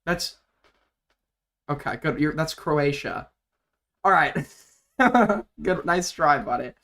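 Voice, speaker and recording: A man hosts a casual livestream and argues bluntly with callers, throwing in sarcastic jabs. His voice is bright and forward and sits a little high for a man. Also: expressive, takes it slowly. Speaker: livestream host. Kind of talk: casual livestream commentary and call-in debate.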